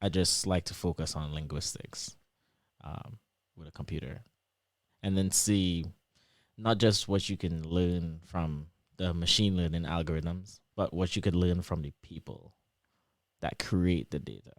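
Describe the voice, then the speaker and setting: A young man whose Trinidadian accent drifts toward American, a guest explaining things in a lively, casual way with lots of examples and fillers. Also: sits low, measured pace, no room sound, clean studio sound. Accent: Trinidadian shifting toward American. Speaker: interview guest, PhD student.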